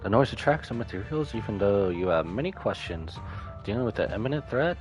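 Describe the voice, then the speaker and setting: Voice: mid-range voice, sing-song, fairly quick, music in the background. A streamer reacting live to a game, reading bits aloud and commenting in a casual, musing way.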